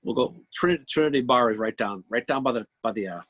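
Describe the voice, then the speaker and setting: A man's voice: mid-range voice, animated, brisk, fairly bright tone. A man with a New England accent bantering casually as a livestream co-host, rambling and repeating himself. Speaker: podcast co-host.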